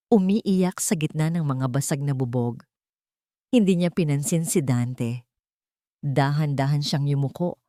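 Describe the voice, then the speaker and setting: A woman narrating a scripted dramatic story as an audiobook, with solemn, deliberate phrasing. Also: lowish voice, mellow, dry recording, clean studio sound.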